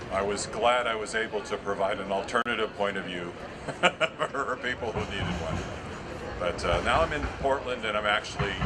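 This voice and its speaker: A middle-aged man from Massachusetts giving a casual, chatty speech outdoors in a naturally loud voice. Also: on the low side, very noisy. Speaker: festival speaker. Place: outdoors by a street.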